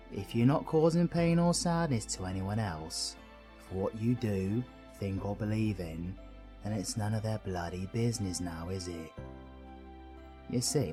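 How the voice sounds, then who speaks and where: A man's voice: fairly low pitch, dark tone, close to an everyday voice. A British man playing a cheeky, kind-hearted supervillain in an audio roleplay, chummy, slangy and reassuring, with a bit of mild swearing. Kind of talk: scripted audio roleplay addressed to the listener.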